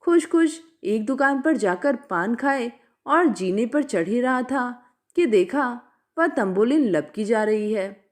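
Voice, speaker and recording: A woman narrates a literary short story. Her voice sits on the high side and moves with a very lively melody. The reading is scripted and expressive, shaped as storytelling. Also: slow.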